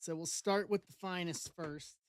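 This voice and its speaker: A woman's voice: lowish voice, moderate pace. A woman with an East Coast American accent hosting a live card break stream, reading out team assignments and calling trades in short bursts.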